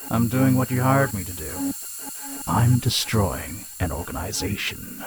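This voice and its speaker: A man's voice: medium pitch, animated, a little slow, a crowd in the background, natural voice, rough recording. A man voicing a cold, defiant, dryly mocking assassin in a cartoon-style video game.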